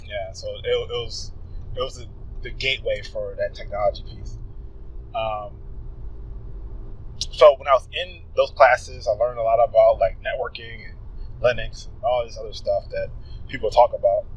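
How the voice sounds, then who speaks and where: A man, a guest telling his story in casual conversation and acting out his past thoughts with a quick laugh. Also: on the low side, steady tone, muffled recording, slight background noise. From Philadelphia, USA.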